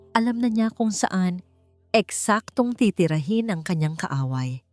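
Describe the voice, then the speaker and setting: A woman with a fairly low voice reads a scripted story as an audiobook narrator. Her delivery is dramatic, with deliberate phrasing that builds suspense. Music plays faintly behind her. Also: a little mellow.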